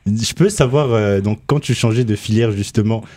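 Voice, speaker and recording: Mellow low-voiced male interviewer. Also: moderate pace. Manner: relaxed, prompting with short backchannels. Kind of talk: casual interview questions.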